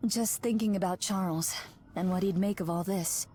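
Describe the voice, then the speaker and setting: A woman voicing a pensive, gentle superhero in a video game, with a soft, husky, mellow voice. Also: on the low side, fairly even intonation, moderate pace, soft background music, natural voice. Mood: wistful. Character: pensive, gentle female superhero missing her mentor. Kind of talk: video game character voice acting.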